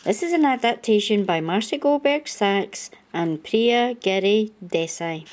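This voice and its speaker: A woman reading a children's storybook aloud as an expressive narrator. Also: medium pitch, unhurried.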